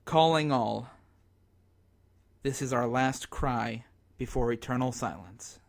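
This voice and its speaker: A man playing a despairing amateur radio broadcaster in a zombie apocalypse radio drama, speaking in halting, dramatic phrases. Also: mid-range voice, vocal fry. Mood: resigned farewell.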